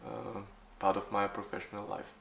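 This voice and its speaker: A man in his forties from Austria, answering interview questions haltingly, with many fillers and restarts. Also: lowish voice, monotone, fairly bright tone, echoey room, a little hiss or hum, rough recording. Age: 40s. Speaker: youth football club organizer.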